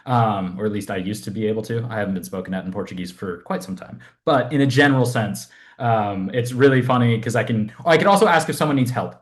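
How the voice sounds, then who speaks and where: A man's voice: lowish voice, fast, hardly any pauses. Young man, from Southern California, casual rambling chat, self-deprecating, fitness coach. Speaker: podcast guest, fitness coach.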